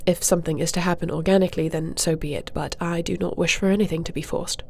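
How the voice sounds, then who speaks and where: A woman playing a formal, dutiful dragon-shifter princess in an audio roleplay, speaking in a measured, regal and diplomatic manner. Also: on the low side, fairly even intonation, vocal fry, a little mellow, slight background noise. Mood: firm, formal. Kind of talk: scripted audio roleplay acting.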